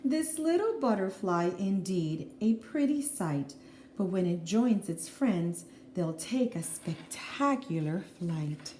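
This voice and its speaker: A woman teacher reading a children's picture book aloud in an expressive, deliberate way, with explanatory asides to young listeners. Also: mid-range voice. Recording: roomy echo, faint background noise.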